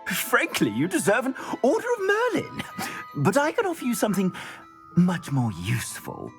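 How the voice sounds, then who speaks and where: A flamboyant clothier. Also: male voice, high voice, huge pitch swings, soft background music, full character voice. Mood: grateful. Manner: theatrical, drawn-out flourishes with hesitant "uh"s. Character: flamboyant shopkeeper clothier, middle-aged man, grateful. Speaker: shop owner. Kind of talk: video game cutscene acting.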